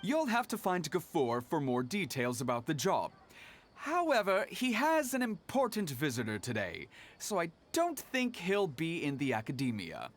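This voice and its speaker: Polite game receptionist dude, highish voice, very lively intonation, methodical. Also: male voice, normal speed, soft background music. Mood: businesslike. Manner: courteous, methodical, explanatory. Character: polite, businesslike male guild receptionist. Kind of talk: scripted game dialogue.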